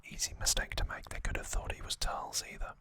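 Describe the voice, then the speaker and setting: ASMR host: breathy whisper, unhurried and halting, sharp bright texture, informal recap style. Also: no echo. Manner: slow, halting delivery in short phrases.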